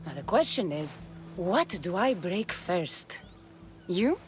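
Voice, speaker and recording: An actress playing a tough, sardonic young mercenary in a video game, speaking in a dry, blunt, menacing way. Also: female voice, on the low side, very animated, slow pace, slight background noise.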